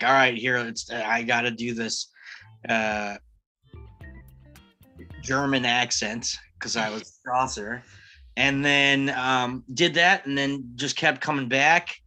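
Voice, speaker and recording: Man, bright-toned, rambling anecdote over a video call, upbeat and casual. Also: mid-range voice. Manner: rambling and upbeat, with laughs and fillers. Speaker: cast member of a live table read podcast.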